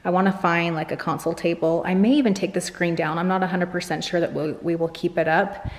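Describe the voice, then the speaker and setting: A woman's voice: on the low side, steady tone, fast. A middle-aged American woman chatting casually to viewers, informal and thinking aloud as she goes. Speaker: family vlogger.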